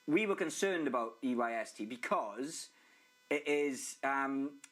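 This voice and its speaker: A man's voice: mid-range voice, lively intonation, a little slow, fairly bright tone, poor audio. A man interviewing a guest in a casual, conversational way, often repeating words as he starts a sentence.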